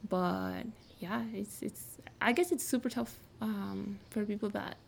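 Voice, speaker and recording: A woman from Mexico speaks English with a Mexican accent, Spanish being her first language. Her voice carries a gravelly, fry-edged texture. She talks in a reflective, searching way, as a guest in a relaxed interview. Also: mid-range voice, measured pace, a little hiss or hum. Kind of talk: casual interview conversation.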